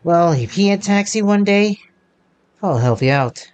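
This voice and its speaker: A woman's voice: mid-range voice, expressive, a little slow, darkish tone, clear, resonant tone, dull audio. A woman game streamer giving playthrough commentary, reading dialogue aloud and reacting in a playful, rambling way. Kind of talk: playthrough commentary, voicing on-screen text and reacting. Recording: game music behind.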